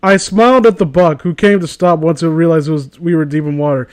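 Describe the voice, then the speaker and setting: A man's voice: a little high, brisk, smooth. A man reading a story aloud as a comedy host, breaking off into sarcastic, incredulous, sweary commentary.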